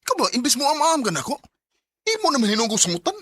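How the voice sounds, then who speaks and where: An actor with a Cebuano Filipino accent playing a proud, wounded young boyfriend in a radio drama. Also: male voice, extremely high pitch, huge pitch swings, very fast, fairly bright tone, dry recording, heavily acted. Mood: hurt, indignant.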